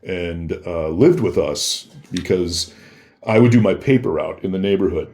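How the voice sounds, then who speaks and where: Low-voiced man telling casual stories. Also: moderate pace, a little mellow. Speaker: podcast guest. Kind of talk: casual anecdotal storytelling.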